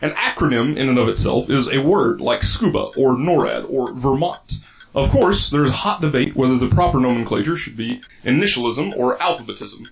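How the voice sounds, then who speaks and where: A man's voice: mid-range voice, lively intonation, a little fast, gravelly, rough recording. A man playing an eccentric, vain and greedy mayor in a comedic audio drama, pompous, wordy and theatrical. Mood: grandly cheerful.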